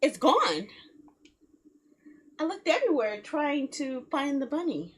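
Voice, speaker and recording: A woman game streamer reading a visual novel aloud in a theatrical, expressive way, with playful asides. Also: high-pitched, slow pace. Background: background music.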